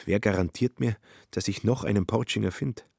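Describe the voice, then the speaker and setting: A man with a husky voice reading scripted literary narration in a radio play. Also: on the low side, fairly quick, a little mellow, no echo, clean studio sound.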